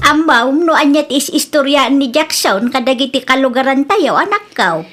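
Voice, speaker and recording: Babae nga agakem a natinis, managungget nga ina. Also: female voice, high-pitched, expressive, normal speed, fairly bright tone, faint music behind. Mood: scolding, disapproving. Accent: Ilocano Filipino. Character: protective, scolding mother advising her grown daughter. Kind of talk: Ilocano radio comedy drama acting.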